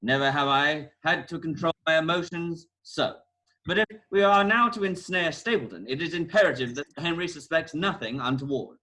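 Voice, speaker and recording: A man's voice: a little high, normal speed, bright, forceful tone, low-quality recording. A man playing a bossy, clever detective in a comic amateur radio play, with a grand, declamatory delivery. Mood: confiding.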